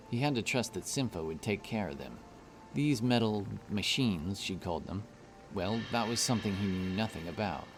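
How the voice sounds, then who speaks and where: A man narrating a scripted fantasy story in a measured, deliberate storytelling manner. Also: deep voice.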